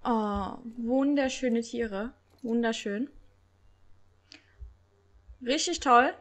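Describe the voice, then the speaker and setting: A young woman with a cold, hosting a game video in casual, excited commentary that stumbles now and then while reading aloud. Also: a little high, extremely slow. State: has a cold. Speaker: gaming channel host.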